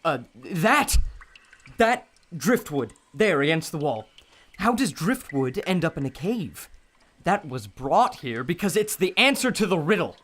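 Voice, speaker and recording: A man's voice: on the high side, very animated, measured pace, a bit bright, exaggerated voice. An actor playing a nervous, kidnapped male scientist who stammers anxiously.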